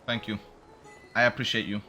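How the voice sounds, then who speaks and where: A man's voice: lowish voice, level tone, moderate pace, sharp tone, faint music behind. A man giving casual, unscripted commentary as he plays a video game, musing and thinking aloud. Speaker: streamer playing a video game.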